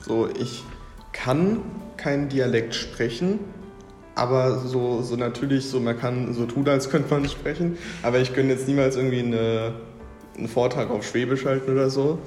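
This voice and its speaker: Man, halting speech, fillers, echoey room. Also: mid-range voice, a little mellow. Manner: hesitant, repeats words and fillers. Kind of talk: casual discussion. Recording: echoey room with long reverb, faint music.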